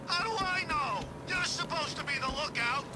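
An actor playing a panicky, bickering getaway-driver thug in a video game, with rough street talk. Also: male voice, extremely high pitch, normal speed, very noisy. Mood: panicked. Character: panicky, bickering male henchman driving getaway vehicle. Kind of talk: video game enemy chatter.

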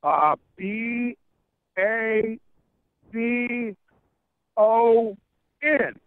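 Gravelly, high-pitched man, muffled. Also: very slow, dry recording. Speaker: quiz contestant. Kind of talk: casual joking banter.

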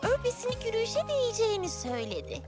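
A woman's voice: extremely high pitch, huge pitch swings, quick pace, over loud music, exaggerated voice. A woman voicing a cheerful, eager young girl heroine in a dubbed children's cartoon.

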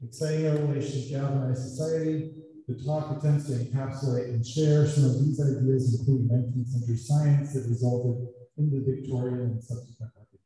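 A man reading out a guest speaker's introduction, stumbling and clearing his throat. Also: medium pitch, steady tone, brisk, warm tone. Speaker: meeting MC. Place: large meeting room. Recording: echoey hall from far off.

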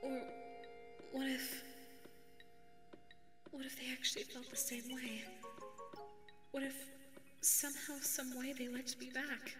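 A woman's voice: sits high, level tone, slow, long pauses. A woman voicing a sweet, anxious female dog secretary with a crush, speaking hesitantly in an ASMR roleplay. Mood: hesitant wondering. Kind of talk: ASMR audio roleplay monologue. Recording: echoey reverberant sound with background music. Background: music.

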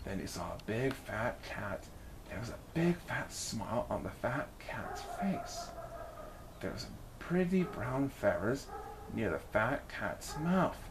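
Female children's story reader, unhurried and very lively in intonation, slight background noise. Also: rumbling low. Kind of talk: expressive children's story narration.

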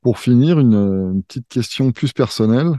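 Male host on a video call; relaxed, conversational, dry close sound. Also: medium pitch, normal speed, no echo. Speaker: interview host. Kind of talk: casual conversational interview. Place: room at home with colored lights, indoors.

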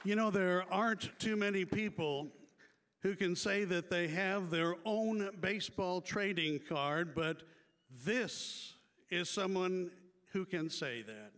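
A man reading prepared tributes as an announcer at a hall of fame banquet, in a measured, deliberate way with small playful asides. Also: sits high, fairly bright tone, clean tone. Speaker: awards ceremony announcer.

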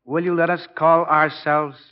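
A man's voice: on the high side, level tone, normal speed, clean tone, natural voice. Man acting as a fatherly football coach, muffled old recording. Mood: fond, amused. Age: 40s. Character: stubborn, fatherly college football coach in his forties. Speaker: actor in a radio drama. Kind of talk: 1940s radio acting. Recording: dull, band-limited 1940s radio recording.

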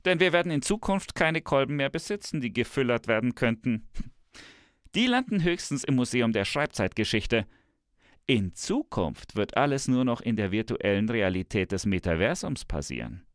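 A man plays a tech-loving professor in a satirical two-hander, teasing and grand. His pitch moves a lot as he stretches words for theatrical effect. The sound is close and dry, with little room in it. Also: sing-song, no room sound. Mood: grandly enthusiastic. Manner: pompous, theatrical, drawn-out emphasis. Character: smug, tech-enthused male professor, grandly visionary and teasing. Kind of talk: scripted satirical dialogue.

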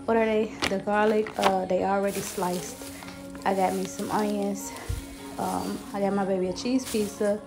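A woman's voice: mid-range voice, gravelly. A young woman vlogging at home as a busy mom, chatting offhand through her grocery haul with music playing under her voice. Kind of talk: casual home vlog voice-over. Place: home kitchen, indoors.

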